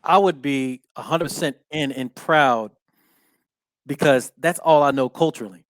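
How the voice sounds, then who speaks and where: A man hosting a casual podcast chat, filling his talk with "you know what I'm saying" and giving steady "mm-hmm" as his guest talks. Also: mid-range voice, measured pace, dry recording. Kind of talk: casual conversation.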